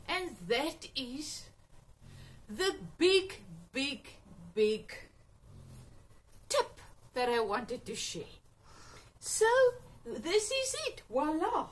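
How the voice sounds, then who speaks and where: Upbeat Afrikaans-accented woman, high and lively. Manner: chatty and upbeat, with little exclamations. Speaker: sewing channel host. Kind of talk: casual sewing tutorial. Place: cluttered home sewing room, indoors. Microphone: camera on a table, a few feet off.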